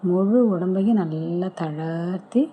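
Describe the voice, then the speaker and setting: A woman leading a guided relaxation meditation, giving soothing, gentle step-by-step instructions with pauses. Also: fairly low pitch, highs cut off, slight background noise.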